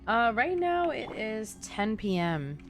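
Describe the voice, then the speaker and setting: A woman's voice: fairly high pitch, sing-song, slow pace, soft background music. A young woman chatting casually as she streams a game, rambling through conversational asides. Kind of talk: casual chatty livestream gaming commentary. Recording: clear background noise.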